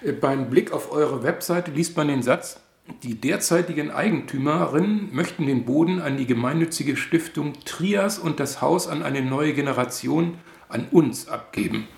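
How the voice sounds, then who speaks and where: A man with a slightly higher pitch and a gravelly edge leads a radio interview, asking short, calm questions. His voice sits in a roomy, echoey space. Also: moderate pace. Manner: calm, brief questions with frequent backchannel. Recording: roomy echo.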